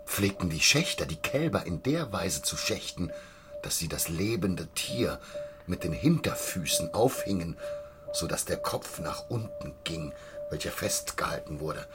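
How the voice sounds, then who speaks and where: An actor in a radio play voicing an obsessive small-town butcher, insistent and conspiratorial, dwelling on grim details. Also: male voice, low-pitched, animated, unhurried, music in the background. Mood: obsessive, explaining. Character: obsessive, gruesome small-town butcher spreading hateful rumours. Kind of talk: radio drama acting.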